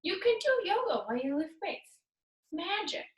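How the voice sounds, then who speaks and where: High-voiced woman leading a workout. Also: very animated, normal speed. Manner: upbeat, playful cueing mixed with Spanish words. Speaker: fitness instructor. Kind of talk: guided workout cues. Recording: echoey room, voice far from mic.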